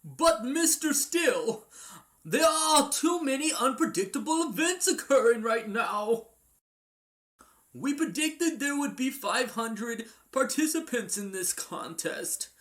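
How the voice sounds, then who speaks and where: A man's voice: very high voice, big pitch swings, takes it slowly, a bit bright, heavily acted. A man in an amateur fan dub playing an anxious, formal aide to a race promoter. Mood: worried, urgent.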